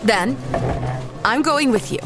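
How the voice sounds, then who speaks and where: An actress playing a composed, businesslike expedition backer in an audio drama, formal and dryly teasing. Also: female voice, fairly high pitch, lively intonation, slow pace. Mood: cool, teasing. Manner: formal, dry, firm. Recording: loud music behind the voice.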